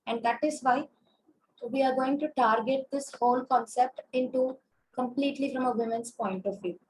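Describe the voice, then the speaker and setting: A woman with an Indian English accent lectures over a video call, her high voice hoarse from a throat infection. She explains step by step with frequent fillers and restarts. A faint hiss sits under the call. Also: fairly even intonation, moderate pace, low-quality recording. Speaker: consultant physiotherapist. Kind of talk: webinar lecture.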